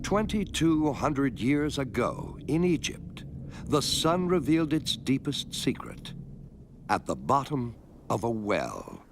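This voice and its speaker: A man giving a measured, scripted narration for an educational film, explaining things clearly step by step. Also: mid-range voice, sing-song, background noise. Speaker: documentary narrator.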